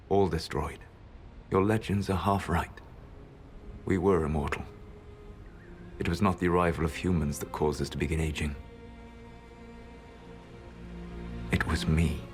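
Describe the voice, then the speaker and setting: A man voicing an ancient elven trickster god in a video game, grave, proud and regretful, speaking in measured, formal phrases. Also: rumbling low, vocal fry. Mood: regretful, solemn. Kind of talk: dramatic video game dialogue.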